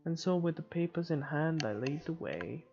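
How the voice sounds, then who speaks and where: A man narrates a visual novel for a game playthrough. His voice is creaky and his read-aloud delivery is informal and a little halting. The sound is slightly muffled, with music underneath. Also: on the high side, expressive, darkish tone. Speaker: video game let's player.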